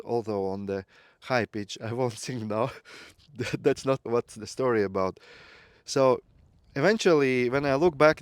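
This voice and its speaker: A man's voice: medium pitch, dry recording. Earnest man. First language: Latvian. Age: 30s. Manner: reflective, earnest, with light laughs. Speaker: growth mentor. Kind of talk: personal storytelling talk.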